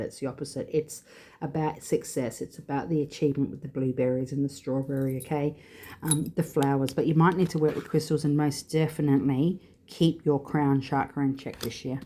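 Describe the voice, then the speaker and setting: A woman's voice: deep voice, mellow. A woman with a congested, stuffy voice giving a chatty, rambling spiritual card reading full of fillers. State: congested with sinus trouble. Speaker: tarot and oracle card reader.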